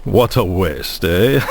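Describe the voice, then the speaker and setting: An actor playing a sly, bargaining resistance agent in a medieval video game, genial yet calculating. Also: male voice, fairly low pitch, slightly rough, heavily acted. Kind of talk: scripted video game voice acting.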